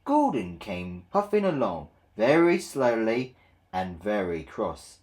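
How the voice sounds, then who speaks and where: A man narrating a children's read-along story in a measured, expressive way. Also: fairly low pitch, soft background music.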